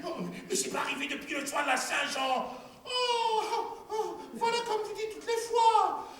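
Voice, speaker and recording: A man squealing as a shrill fretful innkeeper's wife. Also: expressive, normal speed, a bit bright, a little hiss or hum, full character voice. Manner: squealing, moaning, half laughing and crying. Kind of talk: stage play acting. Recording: echoey hall from far off.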